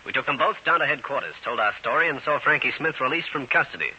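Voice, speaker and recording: A tough American male private eye from a 1940s radio serial. His voice is very bright and forceful, with clipped, wry delivery. The old recording is dull with a light hiss. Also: mid-range voice, expressive. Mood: matter-of-fact. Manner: brisk, hard-boiled, clipped delivery. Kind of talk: 1940s radio detective acting and narration.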